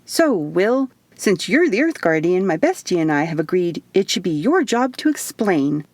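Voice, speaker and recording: A woman's voice: fairly low pitch, sing-song, normal speed, darkish tone, dry recording. A woman voicing a small, bubbly, teasing alien woman in human form for an audiobook, with a perky, playful delivery. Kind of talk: audiobook character dialogue.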